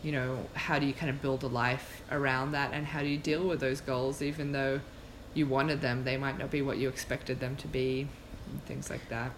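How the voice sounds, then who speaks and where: A podcast host with a mid-pitched voice speaks casually, as in a relaxed conversation. The delivery is informal and reflective, with thoughts worked out aloud. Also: normal speed, hardly any pauses, noisy background.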